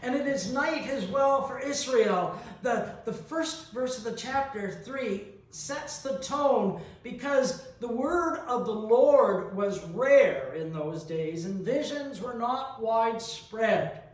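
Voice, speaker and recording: A heavily reverberant church recording, caught at some distance, of a middle-aged man giving a sermon. He speaks slowly and deliberately in a reflective style. He leaves long pauses between phrases and poses rhetorical questions. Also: extremely high pitch, lively intonation. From New Brunswick, Canada. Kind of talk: reflective sermon with personal anecdotes. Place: large reverberant church interior. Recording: echoey church, picked up from a distance.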